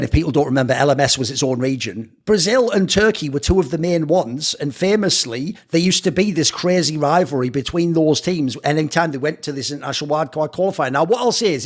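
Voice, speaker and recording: A man hosting a casual interview, explaining things at length in an emphatic, chatty way. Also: on the high side. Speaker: interviewer, talk show host.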